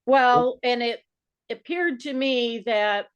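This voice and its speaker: A woman's voice: on the high side, takes it slowly, smooth, highs cut off. A woman speaking casually as a participant in an informal meeting.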